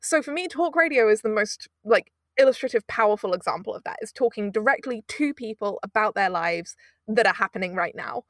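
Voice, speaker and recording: A young woman from Britain, an audio drama producer telling stories in a casual interview, animated and self-mocking with frequent laughs. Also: mid-range voice, fairly quick, no room sound, clean studio sound.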